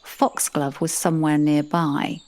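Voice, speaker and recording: A woman with a low voice narrates a comic audio drama in the first person. Her storytelling is dry and sardonic, delivered as the narrator living through the tale. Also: little pitch movement, a little slow, a little mellow.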